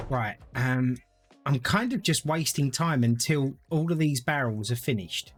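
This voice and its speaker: Casting: male streamer, rambling, lively voice that rises and falls, faint music behind. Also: mid-range voice, a little slow. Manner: rambling, thinks aloud, chats to viewers. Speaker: gaming streamer. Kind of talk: casual live-stream gameplay commentary.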